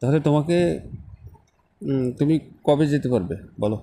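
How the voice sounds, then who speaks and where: A man's voice: a little high, moderate pace, warm tone. A man acting as a persistent office boss in a phone-call drama, coaxing and reassuring in a conversational way. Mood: insistent, reassuring. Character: persistent male office boss coaxing a reluctant female employee.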